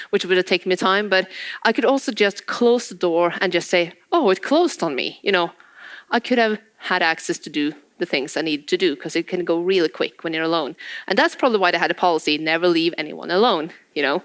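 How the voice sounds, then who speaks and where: A woman with a Norwegian accent speaks to an audience in a lively storytelling manner. She acts out little exchanges and laughs between anecdotes. Her voice is slightly low and smooth, recorded close and dry. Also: no room sound. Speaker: conference speaker.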